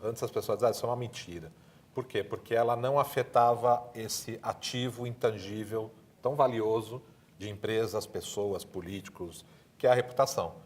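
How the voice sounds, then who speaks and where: A man's voice: lowish voice, moderate pace, a little mellow. A man with a Brazilian accent speaking as a panelist, often adding little tag questions to his points. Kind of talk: panel discussion.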